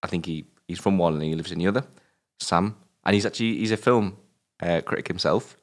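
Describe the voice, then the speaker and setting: Young british guy, really low voice, talks fast, gets all excited and swears a lot chatting about films. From Britain. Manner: excitable, swears, exclaims in disbelief. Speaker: co-host of a film review show. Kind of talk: casual film chat.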